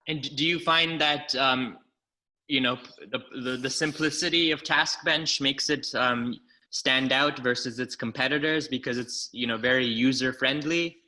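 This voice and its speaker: A man's voice: mid-range voice, fairly even intonation, moderate pace, pushed and bright, poor audio. A man hosting a podcast interview, asking questions with frequent stammers and repeated words. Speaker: podcast host.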